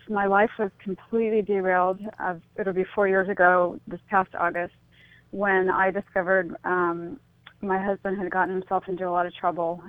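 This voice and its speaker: A middle-aged woman with a medium-pitched voice tells her own story as an interview guest, reflective and halting. Her audio is dry, muffled and narrow, like a call. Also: no room sound. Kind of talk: personal account in an interview.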